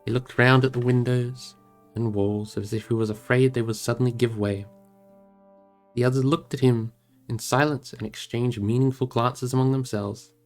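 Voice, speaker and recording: A man's voice: medium pitch, fairly even intonation, normal speed. Man narrating a fantasy novel over music. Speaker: storybook reader, streamer.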